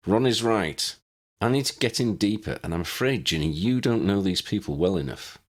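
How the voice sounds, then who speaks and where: A man's voice: fairly low pitch, lively intonation, normal speed, pitched above the performer's own voice. A man voicing a cocky, wry young male journalist with an airy, confident manner in an audiobook story. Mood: firm, planning.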